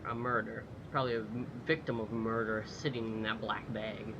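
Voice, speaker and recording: A man's voice: on the low side, little pitch movement, moderate pace, muffled recording, background noise. A man giving casual gameplay commentary as a video host, muttering to himself, swearing and reading text aloud.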